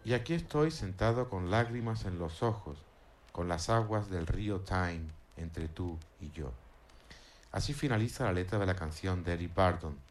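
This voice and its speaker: A man presenting music on the radio, calmly narrating and translating song lyrics. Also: sits low, normal speed, a little hiss or hum.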